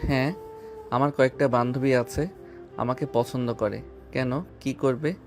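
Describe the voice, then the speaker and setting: A man acts out a harassed young man in a romantic audio drama. His voice is medium-pitched, with an anxious, complaining and pleading manner, over faint background music. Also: fairly even intonation, a little slow, close to an everyday voice. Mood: aggrieved, pleading. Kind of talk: Bengali audio love-story drama.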